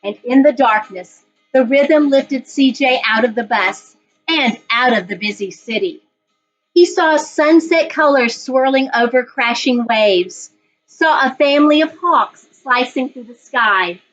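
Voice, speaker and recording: Woman reading slowly to kids, high animated voice. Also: faint music behind. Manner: expressive, deliberate storyteller. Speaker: reading coach, teacher. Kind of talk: storytime read-aloud for children. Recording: dull lo-fi online recording.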